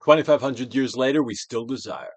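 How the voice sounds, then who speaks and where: A man reading a book aloud as a theatrical dramatic performance, in a solemn, deliberate, declamatory manner. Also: mid-range voice, dry recording. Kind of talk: dramatic audiobook reading, theatrical Shakespearean delivery.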